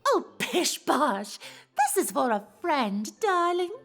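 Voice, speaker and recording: A woman's voice: sits high, very animated, slow pace, fairly bright tone, faint music behind, full character voice. A woman voicing an elegant, teasing female pony in a dramatized story reading, with an affected, refined delivery. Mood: playful, eager.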